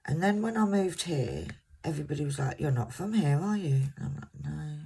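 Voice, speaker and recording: A woman in her fifties, originally from Scotland, with a soft voice and a mixed English accent, chatting to viewers in a casual, rambling way and sometimes stumbling over words. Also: deep voice, animated, a little fast, creaky, breathy edge. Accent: English, mixed southern. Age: 50s. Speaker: crafting vlogger.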